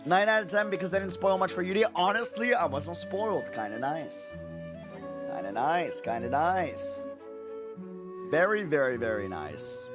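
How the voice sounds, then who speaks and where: A man streaming casually late at night, his voice raspy and hoarse from no sleep, rambling and repeating himself with lots of fillers. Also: a little high, animated, a little slow, over music. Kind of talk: casual livestream chat.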